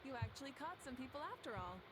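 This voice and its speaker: A woman's voice: high-pitched, big pitch swings, fast, heavy background noise. A woman playing a composed, friendly female leader in a video game, assured and lightly teasing. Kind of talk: game dialogue.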